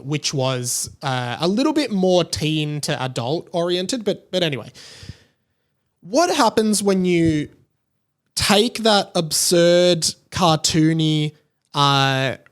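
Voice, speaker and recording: A young man hosting a podcast solo, giving casual, opinionated commentary in a rambling, conversational way with emphatic points. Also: fairly high pitch. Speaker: podcast host.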